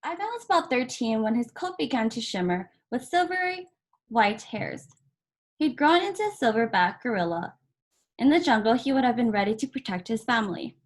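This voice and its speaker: A woman with a fairly high voice reads a picture book aloud for children. She works through the story in measured, short phrases, leaving small pauses between them. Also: very animated. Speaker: volunteer story reader.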